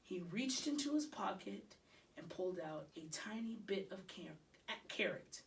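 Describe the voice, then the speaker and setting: A woman reading a children's novel aloud expressively, phrase by deliberate phrase. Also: mid-range voice, lively intonation, vocal fry, distant mic, slight background noise, rough recording. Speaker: storybook reader.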